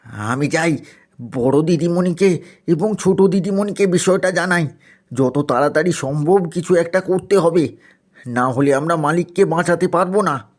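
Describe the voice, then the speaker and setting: Man acting an urgent male servant, deferential, anxious, fairly high pitch, cartoon story. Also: unhurried. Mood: worried.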